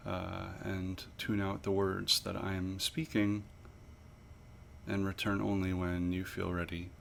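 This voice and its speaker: A man leading a guided body scan meditation as an instructor, with measured, pausing, gently instructive phrasing. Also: low-pitched, completely flat delivery, creaky, slight background noise.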